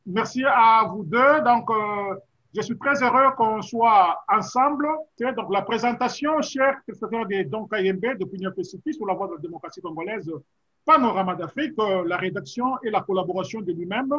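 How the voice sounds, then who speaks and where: A man's voice: high voice, bright, forceful tone, lo-fi sound. Man hosting a political debate, hesitant. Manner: measured, frequent hesitations. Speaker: talk show host.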